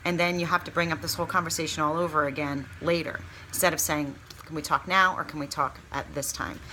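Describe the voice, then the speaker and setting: A woman with a slightly low voice gives casual sales training. She is chatty and encouraging, stressing her key points candidly. Some faint noise sits behind her voice. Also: steady tone, quick pace, bright, forceful tone, low-quality recording. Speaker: consultant giving sales training. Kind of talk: informal training talk with acted sample pitches.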